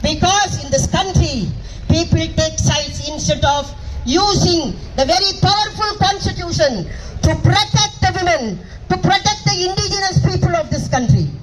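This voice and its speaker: Older woman, Indian English accent, worn hoarse, very high voice, impassioned protest orator, noisy crowd recording. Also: expressive, moderate pace. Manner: fiery, repetitive, rhetorical questions to the crowd. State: hoarse, lost voice. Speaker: protest speaker. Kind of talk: impassioned rally speech. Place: outdoor protest rally in a park. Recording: crowd noise at outdoor rally. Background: crowd and ambient noise.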